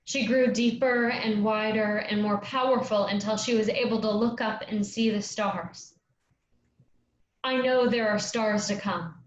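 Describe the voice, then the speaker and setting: A woman's voice: mid-range voice, close to an everyday voice. A woman as an earnest girl, echoey recording. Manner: earnest, reverent. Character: earnest young girl learning from forest spirits. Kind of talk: staged reading of a play. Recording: roomy, dull, noisy lo-fi recording.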